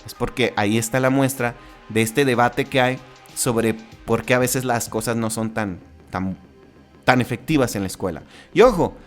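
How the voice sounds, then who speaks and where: A man with a Mexican accent hosting a solo podcast in a casual, conversational way, with frequent restarts and tag questions. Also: medium pitch. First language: Spanish. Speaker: podcast host.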